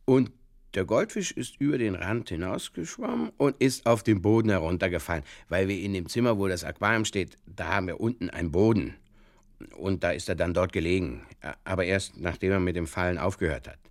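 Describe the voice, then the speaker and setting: A man playing an earnestly muddled Bavarian fishkeeper in a halting, circuitous comic radio monologue, with a Bavarian accent. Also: low-pitched, animated, no echo, studio quality. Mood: earnestly explaining. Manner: halting, circuitous, literal-minded, over-explaining. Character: rambling, earnestly muddled Bavarian fishkeeper telling absurd tales.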